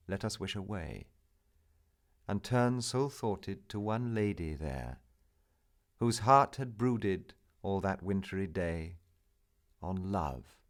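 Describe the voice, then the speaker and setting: A man reciting a narrative poem in a solemn, theatrical manner, with measured, drawn-out phrases. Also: low voice. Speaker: poetry reader.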